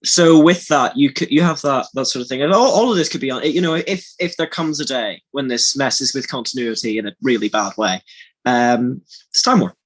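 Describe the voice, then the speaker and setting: A man's voice: medium pitch, breathy edge. A man who acts in audio dramas, chatting casually on a behind-the-scenes podcast, often restarting his phrases. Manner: halting, restarts phrases repeatedly.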